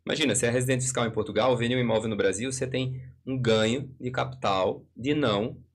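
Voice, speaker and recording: A man's voice: mid-range voice, fast. A man with a Brazilian accent explaining things didactically in a live discussion, working through hypothetical examples.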